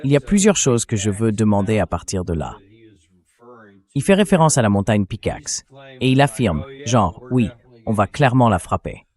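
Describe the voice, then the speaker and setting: A man's voice: on the low side, big pitch swings, normal speed, darkish tone. A man's synthetic dubbed voice, putting questions as an interview host in a translated voice-over.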